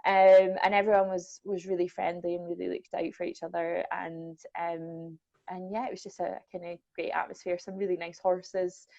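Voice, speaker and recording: A woman's voice: fairly low pitch, flat delivery, moderate pace, vocal fry, warm tone, no echo. A young Scottish woman from the Borders, a welfare manager chatting casually and self-deprecatingly with lots of ums. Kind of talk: casual chat. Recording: dull lo-fi sound.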